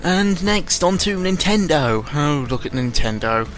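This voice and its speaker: A young man giving casual, unscripted commentary in a rambling, halting way, often interrupting himself, with a sarcastic, self-deprecating and exasperated manner. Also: a little high, expressive, fairly bright tone. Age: young adult. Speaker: YouTuber recording an audio log. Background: background music.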